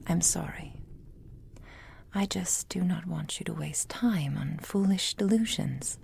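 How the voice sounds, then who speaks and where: A woman playing a possessive, manipulative witch lover in an intimate ASMR roleplay, whispering in a formal, measured, softly controlling way. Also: fairly low pitch, a little hiss or hum, full character voice. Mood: soothing, evasive. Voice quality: breathy, mostly whispered.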